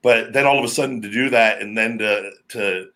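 A man with a deep, heavy, booming voice, hosting a podcast in a loose, rambling, talkative way. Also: steady tone, normal speed, sharp tone. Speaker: podcast host, former pro wrestler. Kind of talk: casual podcast chat.

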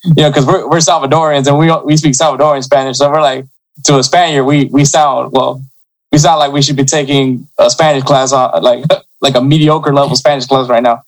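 A man's voice: medium pitch, fairly even intonation, fairly quick, poor audio. A man hosting a casual interview, easygoing and chuckling between his questions. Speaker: podcast host.

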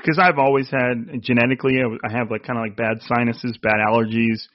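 A man's voice: mid-range voice, flat delivery, fairly quick, no echo, muffled recording. A man hosting a casual sports podcast, with a nasal voice and a rambling way of talking. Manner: rambling, restarts often. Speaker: podcast host.

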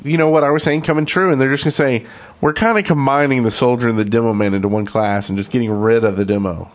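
A man's voice: mid-range voice, fairly even intonation, quick pace. A man co-hosting a gaming podcast, talking casually. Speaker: podcast co-host.

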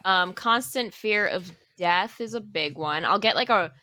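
A woman's voice: medium pitch, lively intonation, measured pace, pushed and bright, no room sound. A woman in her twenties talking candidly in a casual chat, easygoing. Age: 20s.

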